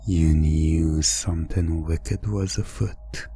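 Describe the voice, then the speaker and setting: Guy doing hypnotic asmr story narration, super slow and hushed, deep voice, music underneath. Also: steady tone, creaky. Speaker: narrator of a hypnosis audio roleplay.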